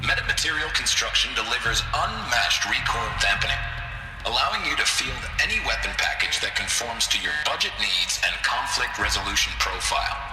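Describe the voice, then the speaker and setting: An actor playing a slick corporate announcer in a video game, delivering a polished sales pitch for military robots. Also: male voice, animated, brisk, natural voice. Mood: confident, promotional. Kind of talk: scripted advertising narration. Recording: echoey long reverb, distant, music behind.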